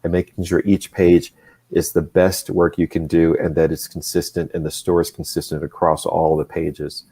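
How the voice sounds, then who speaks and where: Deep-voiced man speaking haltingly. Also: little pitch movement, warm tone, husky. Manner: halting, restarts often, measured. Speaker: comics creator. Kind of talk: casual discussion.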